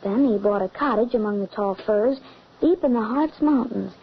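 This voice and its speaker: A woman's voice: on the high side, normal speed, gravelly, slightly rough. A woman playing an earnest, haunted eleven-year-old boy in an old-time radio drama, on a muffled, hissy recording. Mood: somber, recounting.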